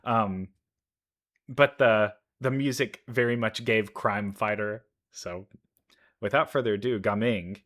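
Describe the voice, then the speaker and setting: A man giving casual, enthusiastic reaction commentary, halting as he thinks aloud. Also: fairly low pitch, a bit bright. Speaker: reaction video host, gamer.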